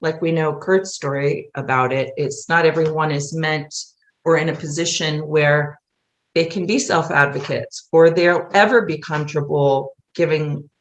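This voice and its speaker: A woman's voice: deep voice, steady tone, normal speed, low-quality recording. A middle-aged woman explaining things earnestly in a casual interview, wandering from one thought to the next. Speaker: theater writer and producer, interview guest.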